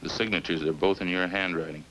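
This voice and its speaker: A man's voice: sits low, steady tone, quick pace, a bit bright, rough recording. An actor playing a male police detective, a sergeant's partner, putting blunt short questions in a 1950s radio crime drama. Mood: blunt, brisk. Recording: hissy old-time radio recording.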